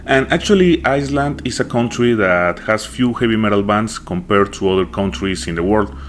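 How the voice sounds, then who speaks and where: Deep-voiced Mexican interviewer. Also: male voice, moderate pace, a little hiss or hum. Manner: enthusiastic, many affirming yeses. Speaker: podcast host, interviewer. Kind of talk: interview questions.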